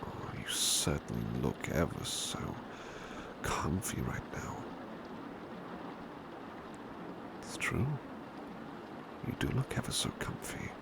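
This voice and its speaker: A man acts out a devoted British butler in an ASMR roleplay, with an extremely low voice full of creaky fry. He murmurs gently and courteously, a little hesitant, with pitch that swings widely from phrase to phrase. He sounds like a big, protective servant keeping close watch. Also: measured pace, full character voice. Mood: cosy, tender. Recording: steady background noise, rain ambience. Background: rain ambience.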